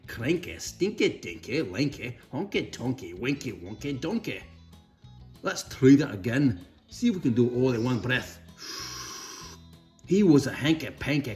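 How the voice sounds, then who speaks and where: A man reading a children's storybook aloud in a playful, expressive way with cheeky asides. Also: medium pitch. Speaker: storybook reader. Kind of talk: animated read-aloud for children.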